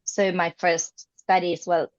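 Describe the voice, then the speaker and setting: Argentine woman in her fifties, native Spanish speaker, lowish voice, halting interview answers, dull lo-fi sound. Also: flat delivery. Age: 50s. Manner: hesitant, many fillers and restarts. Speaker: guest, English teacher. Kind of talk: conversational interview.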